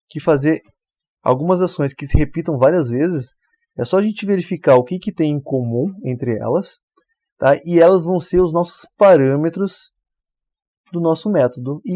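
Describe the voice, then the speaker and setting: A man's voice: on the high side, dry recording. A man walks through a coding tutorial step by step. He keeps checking in with the viewer as he goes. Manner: relaxed, explains step by step, often checks with "tá?". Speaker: programming tutor. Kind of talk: screen-recorded coding tutorial.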